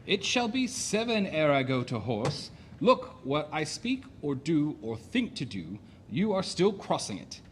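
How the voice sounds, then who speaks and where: An actor playing a domineering, capricious husband in a Shakespearean comedy audio play, with a commanding, declamatory delivery. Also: male voice, a little high, big pitch swings, measured pace, hardly any pauses. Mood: contrary, commanding. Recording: noticeable background noise.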